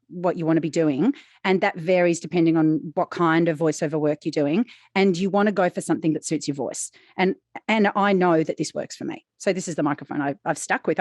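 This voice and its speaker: A woman voice actor co-hosting a podcast in casual chat, with a deeper, slightly sibilant voice and an easygoing, chatty manner. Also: no echo, studio quality. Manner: relaxed, chatty, frequent "right?" asides.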